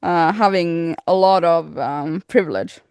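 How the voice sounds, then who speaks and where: A woman's voice: fairly low pitch, unhurried, darkish tone, clear, resonant tone, no room sound, studio quality. A woman chatting casually as a podcast co-host in a playful, teasing manner. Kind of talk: casual chat.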